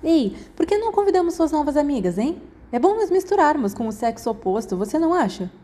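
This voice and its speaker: A woman's voice: high voice, big pitch swings, fast talker, dark tone, pitched above the performer's own voice. A woman voicing a breezy, sociable teenage schoolboy in a storybook reading. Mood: suggestive, upbeat.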